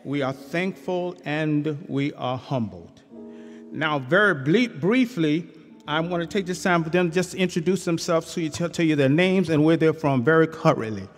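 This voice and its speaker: A university choir director giving gracious, grateful formal remarks during a church service, speaking to an audience in a large chapel. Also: male voice, fairly high pitch, normal speed. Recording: long chapel reverb, crowd and music behind.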